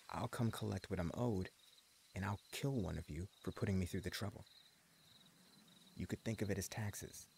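A man's voice: low-pitched, gravelly, a little mellow, slight background noise. A man voicing a menacing post-apocalypse gang leader in an audio roleplay, speaking in a taunting, mock-friendly drawl. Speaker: voice actor.

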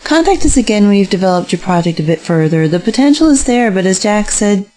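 A woman acting a practical businesswoman, mid-pitched. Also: sing-song, fast, dark tone, lightly acted. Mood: interested, reasonable. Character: practical, open-minded businesswoman investor. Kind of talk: audio drama acting.

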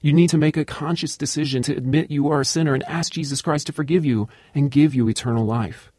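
Actor as a patient, dry-sounding evangelist. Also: male voice, on the high side, warm tone, dry recording, close to an everyday voice. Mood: earnest. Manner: measured, solemn when quoting. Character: patient, earnest male evangelist quoting scripture. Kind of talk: radio drama acting.

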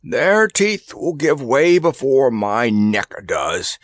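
Man playing an elephant, huffy, grunting, proud, fatherly, stalwart, unhurried storybook delivery. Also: mid-range voice, very animated, no room sound, studio quality. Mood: strained reassurance. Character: big, proud, gruff, fatherly elegant elephant.

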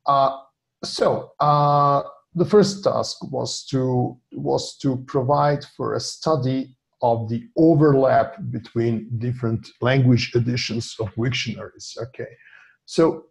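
A man's voice: mid-range voice, steady tone, takes it slowly, gravelly, low-quality recording. A man, a data scientist walking an online meeting through a dashboard on a shared screen, with many fillers and restarts. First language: Serbian. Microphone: video call.

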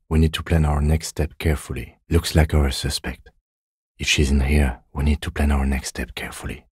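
Very deep, mellow, restrained police lieutenant. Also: male voice, breathy edge, dry recording, clean studio sound, heavily acted. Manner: measured, restrained, deadpan. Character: composed, dry, dutiful male police lieutenant detective. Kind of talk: video game dialogue acting.

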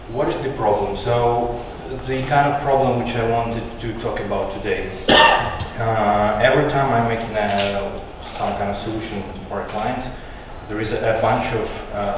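A man in his thirties, a native Russian speaker, giving a tech conference talk in English with many fillers and restarts. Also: fairly low pitch. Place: large conference room. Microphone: handheld mic, far camera. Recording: echoey hall recorded from far off, noisy.

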